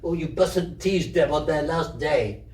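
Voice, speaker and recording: A man's voice: on the high side, unhurried, darkish tone, echoey room, recorded from a distance, close to an everyday voice, low-quality recording. Man playing a stout, wheezy neighbourhood mother. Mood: bossy, kindly.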